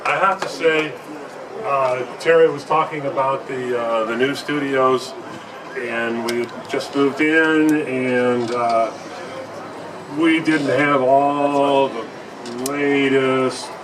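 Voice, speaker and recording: An older man reminisces casually at a live event with a slow, halting delivery. His pitch is average, and he sounds off the mic with noise behind him. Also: fairly even intonation. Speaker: retired radio engineer. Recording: distant mic, noisy event room.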